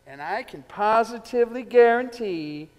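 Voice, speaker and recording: Actor playing a high-pitched, swaggering drug dealer in a hall. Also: male voice, expressive, normal speed, darkish tone. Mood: taunting, gloating. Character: swaggering, seductive male drug dealer in a jazz bar. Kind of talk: theatrical staged reading of a music drama. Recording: echoey theater hall with music.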